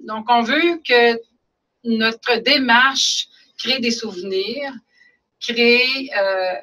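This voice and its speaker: A Canadian French woman presents a webinar in an earnest, halting way, often pausing on fillers. Her voice is bright and clear. She sits a little back from her computer mic, so she sounds slightly distant. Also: on the high side. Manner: earnest, many fillers and restarts. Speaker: webinar presenter on school identity building. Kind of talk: explanatory webinar talk.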